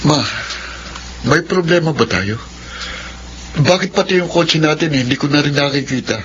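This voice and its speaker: A muffled radio drama recording with faint noise features a young Filipino son acted by a man. He has a high male voice and speaks earnestly, pleading and questioning like a concerned grown child. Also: normal speed, a bit bright, lightly acted. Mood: worried, insistent. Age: young adult.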